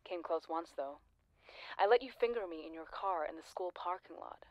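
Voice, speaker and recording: An actress playing a lonely, persistent former classmate, probing and wryly blunt, in a naturalistic audio drama. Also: female voice, lowish voice, moderate pace, no echo, dull audio, close to an everyday voice.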